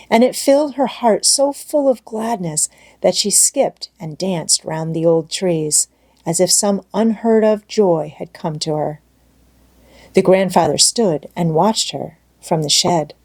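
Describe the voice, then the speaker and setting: Lower-pitched woman narrating a storybook. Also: darkish tone. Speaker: audiobook narrator.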